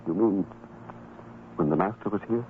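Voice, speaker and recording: A man's voice: low-pitched, moderate pace, natural voice. An actor playing a troubled, stubborn fisherman father in a religious radio drama, with an emotional, emphatic, theatrical delivery. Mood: defensive. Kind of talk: old-time religious radio drama acting. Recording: tinny, band-limited old radio recording, noisy.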